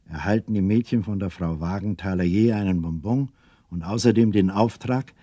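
A man narrating a children's radio play as a storyteller, in a measured, deliberate manner. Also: lowish voice, a little mellow, slightly rough, no echo. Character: calm male storyteller addressing young listeners.

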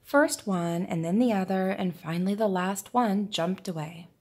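A woman reading a children's picture book aloud in a deliberate, expressive storytelling manner. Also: medium pitch. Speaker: preschool teacher reading aloud.

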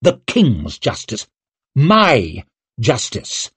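A man's voice: medium pitch, huge pitch swings, extremely slow, fairly bright tone, a little hiss or hum, exaggerated voice. A man voicing an angry young king in an audiobook, icy, imperious and snapping out commands. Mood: icy judgement. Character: angry young king, cold, imperious, passing stern judgement.

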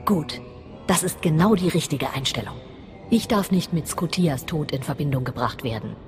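A cold, scheming dark-side mistress in a video game. Her voice sits fairly low, deliberate and conspiratorial. It rings in long reverb over music. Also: expressive. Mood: calculating. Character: scheming, bitter female Sith master plotting against a rival. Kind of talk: scripted video game acting in German.

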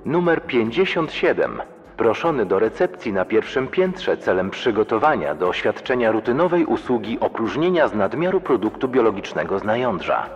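An actor playing an official, ceremonious announcer of a state institute in an audio drama, making a bureaucratic public address. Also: male voice, fairly low pitch, big pitch swings, normal speed, dull audio. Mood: officious. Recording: long hall reverb, faint music.

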